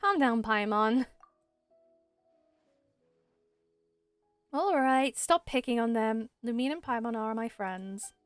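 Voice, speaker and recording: A woman voicing a kindly, protective young village woman in a game, gentle and mildly scolding. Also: fairly high pitch, moderate pace, smooth, no echo, faint music behind, voice pushed down, lightly acted.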